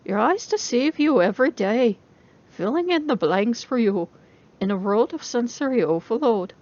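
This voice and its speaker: A woman's voice: fairly high pitch, animated, normal speed, a little mellow, dull audio, lightly acted. A woman voicing an intense female robotics professor who lectures her class in a didactic, emphatic way, as in an audiobook. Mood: instructive.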